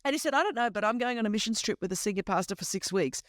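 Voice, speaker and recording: A woman in her fifties with an Australian accent whose first language is Greek, telling a lively, humorous personal story as a podcast host. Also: mid-range voice, rapid-fire, no echo, studio quality. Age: 50s. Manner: animated, emphatic, humorous storyteller. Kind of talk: conversational testimony storytelling.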